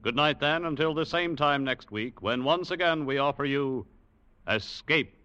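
A man's voice: fairly high pitch, normal speed, pushed and bright, clear, resonant tone. A man announcing on 1940s radio in a formal, polished manner. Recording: muffled vintage radio broadcast.

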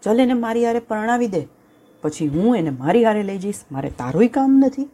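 A woman voicing a hungry, bossy male lion who barks gruff, impatient orders in a storybook tale. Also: mid-range voice, animated, fairly quick, dark tone, pitched above the performer's own voice, close to an everyday voice.

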